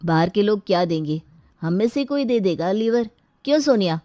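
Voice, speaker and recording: Woman as a mellow-voiced, outspoken young man. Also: medium pitch, lively intonation, moderate pace, no room sound, studio quality, lightly acted. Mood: pointed. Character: teasing, outspoken young brother-in-law.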